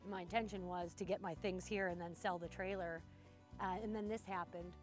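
A woman's voice: lowish voice, steady tone, brisk, faint music behind. A woman who owns a horse ranch, answering interview questions in an easygoing way with many fillers. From Montana. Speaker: ranch owner, riding instructor.

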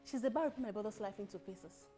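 A woman's voice: mid-range voice, lively intonation, fast, mellow, soft background music, natural voice. A woman playing a protective, scolding sister in a screen drama. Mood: bitter, scolding. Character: protective, scolding woman, loudly defiant about her brother.

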